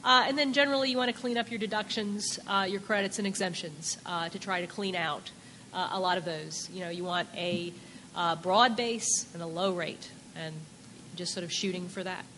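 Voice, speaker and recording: A woman's voice: on the low side, steady tone, normal speed, pushed and bright, a little hiss or hum. A woman on a conference panel explaining tax policy, hesitant and full of filler sounds. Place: conference hall. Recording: echoey conference hall.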